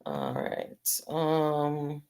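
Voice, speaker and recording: Low-voiced jamaican woman, middle-aged, chatting on her livestream, patois mixed in, reading out comments. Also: big pitch swings, very slow, darkish tone. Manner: chatty, mixes patois and English, reads comments aloud. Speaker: livestream host. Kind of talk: casual livestream chat with viewers.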